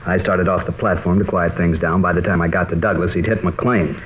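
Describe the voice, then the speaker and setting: A man playing an earnest, troubled American high school teacher in a mid-century radio drama, explaining things hesitantly. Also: steady tone, brisk, natural voice. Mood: recounting, shaken. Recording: dull, lightly noisy old radio recording.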